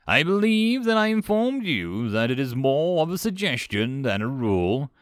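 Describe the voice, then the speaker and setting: A man's voice: mid-range voice, sing-song, moderate pace, no echo, studio quality, pitched above the performer's own voice, lightly acted. A man voicing a grave, suspicious insect-like alien who speaks formally and precisely in a narrated science fiction story. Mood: pedantic.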